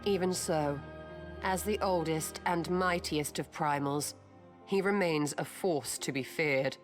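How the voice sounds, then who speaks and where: A composed, learned fantasy woman with a lowish voice. She speaks formally and in measured, earnest phrases, and game music is clearly audible behind her. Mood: solemn. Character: grave, composed, scholarly woman of a fantasy order. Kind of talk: scripted fantasy game dialogue.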